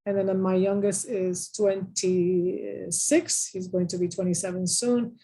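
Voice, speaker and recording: A woman's voice: mid-range voice, fairly even intonation, unhurried, dark tone. A woman in her fifties from the Dominican Republic, a physician and professor, introducing herself plainly on a panel. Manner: plain, matter-of-fact with fillers.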